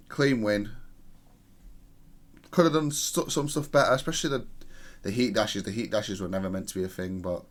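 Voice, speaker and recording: A man with a fairly low voice talks through his own gameplay. His delivery is analytical and matter-of-fact, with a self-critical streak. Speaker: gamer reviewing own match.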